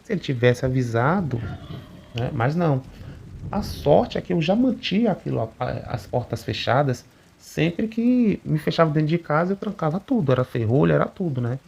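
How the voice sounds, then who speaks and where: A man narrating a first-person supernatural account in a conversational, unhurried way, often adding little tag questions. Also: on the high side, lively intonation, gravelly, a little mellow, slight background noise. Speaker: channel narrator reading a listener's account. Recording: muffled recording with faint rain behind.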